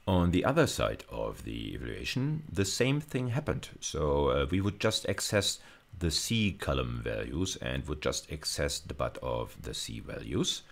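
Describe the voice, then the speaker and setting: A man with an extremely low-pitched voice lectures on technical material. He explains step by step, pausing and restating phrases as he goes. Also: big pitch swings, creaky. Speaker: university lecturer.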